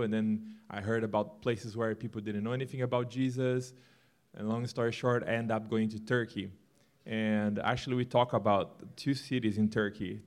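A man from Brazil with a Brazilian Portuguese accent, presenting his church-plant vision to a congregation with earnest, animated talk and some self-deprecating humor. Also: fairly low pitch.

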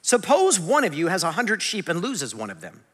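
A man in his forties preaching a conversational sermon, animated and self-deprecating. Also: high-pitched, moderate pace. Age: 40s. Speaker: pastor. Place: large church hall. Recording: long hall reverb.

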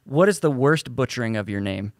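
A man's voice: mid-range voice, expressive, fairly quick, no echo, clean studio sound. A man co-hosting a podcast, chatting casually and laughing often as he tells rambling stories. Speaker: podcast co-host.

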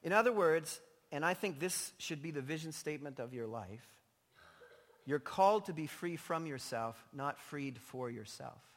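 A man's voice: a little high, lively intonation, moderate pace, a bit bright. A man preaching a sermon as a pastor, emphatic yet informal and conversational, his voice slightly strained. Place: large indoor church hall. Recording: echoey large hall, long reverb.

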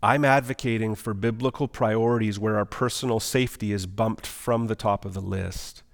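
A man's voice: lowish voice, fairly even intonation, normal speed, vocal fry, big-room sound. A man preaching a sermon as pastor, earnest, putting questions to the congregation. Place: church auditorium.

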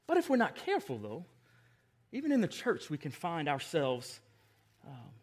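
An American man in his forties preaching a sermon as a pastor, emphatic and given to repeated refrains, moving between hushed asides and loud exclamations. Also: a little high, wildly swooping pitch, normal speed, breathy edge. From Long Island, New York, United States. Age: 40s.

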